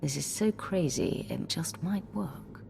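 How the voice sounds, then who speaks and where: Deep-voiced stoic female warrior. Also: lively intonation, gravelly, full character voice. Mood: dry. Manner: measured, dry, serious. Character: stoic, composed female Sith warrior hero. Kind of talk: video game cutscene acting. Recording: long reverb, music behind.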